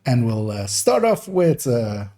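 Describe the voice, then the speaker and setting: Halting man with a mixed Persian-Dutch accent. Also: medium pitch, sing-song, mellow. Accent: mixed Persian, Dutch and American. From Iran, raised in the Netherlands. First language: Farsi. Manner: halting, many fillers and restarts. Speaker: gaming YouTuber. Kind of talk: rambling Q&A monologue.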